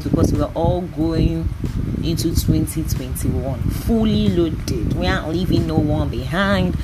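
Young Nigerian woman, early twenties, solo podcast host; chatty, rambling delivery on a noisy home recording. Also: low-pitched. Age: 20s. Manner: chatty, rambling, often laughing. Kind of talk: casual solo podcast chat.

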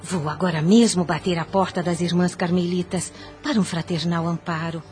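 A woman's voice: fairly low pitch, steady tone, moderate pace, a little mellow, soft background music, close to an everyday voice. An actress playing an anguished, devout mother in a radio soap opera, speaking in a formal, pleading and emphatic way. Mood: resolved. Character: anguished, devout mother pleading to protect her young daughter.

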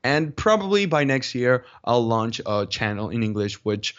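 A man with a Spanish accent answering interview questions in a deliberate, measured way, pausing to search for words. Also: mid-range voice, sing-song, fairly bright tone. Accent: Spanish-speaking. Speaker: interview guest, video creator. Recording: dull lo-fi call audio.

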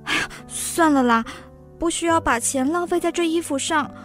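An actress playing a demure, self-pitying young wife who longs for finery in a radio drama, speaking in a plaintive, delicate way. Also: female voice, very high voice, moderate pace, fairly bright tone. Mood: coaxing. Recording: music behind the voice.

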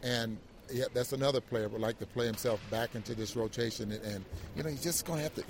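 A male sports analyst talks through a game in a conversational, halting way, repeating words as he goes. His voice is mid-pitched, with faint noise in the background.

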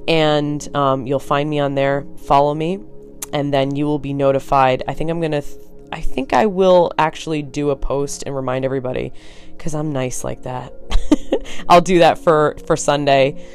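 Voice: mid-range voice, fairly even intonation, normal speed, faint music behind. Tired, blunt podcaster with a Connecticut accent. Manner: blunt and frank, swears, rambling asides. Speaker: podcast host. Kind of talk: casual solo podcast talk.

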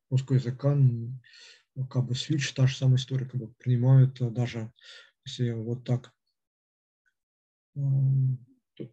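A man lecturing off the cuff, stopping and restarting at every turn with a string of fillers. His voice is very dark and husky, and he speaks in a flat, level tone. The recording sounds dull and lo-fi. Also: medium pitch. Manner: halting, with constant hesitations and fillers. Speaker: lecturer on philosophy. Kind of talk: unscripted lecture.

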